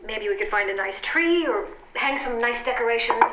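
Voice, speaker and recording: An earnest, determined housewife sleuth acted by a woman in a radio comedy, bright and a little high. The room is echoey and the sound slightly dull. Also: lively intonation, normal speed, a little hiss or hum. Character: worried, determined wife turned amateur sleuth. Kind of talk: old-time radio comedy acting. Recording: echoey, band-limited radio-style sound.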